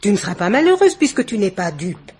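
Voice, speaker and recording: An actress playing an imperious, chattering middle-aged society hostess in a theatrical, exclamatory French radio mystery play. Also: female voice, medium pitch, big pitch swings, fast talker, darkish tone, natural voice. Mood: brisk, teasing.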